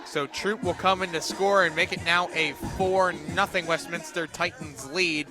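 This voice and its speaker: Man calling softball over background music. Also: fairly even intonation, moderate pace, crowd noise. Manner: clipped, terse pitch calls, lifting for big plays. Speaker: play-by-play sportscaster. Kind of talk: live softball radio commentary.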